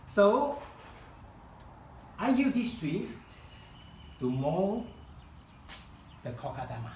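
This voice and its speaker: A man whose first language is Chinese, leading a live kokedama-making demonstration in a room and often pausing to search for words. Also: sits high, sing-song, echoey room, slight background noise, poor audio. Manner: halting, searching for words, many restarts. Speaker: kokedama workshop founder demonstrating. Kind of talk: live hands-on craft demonstration. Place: room at a home demonstration event.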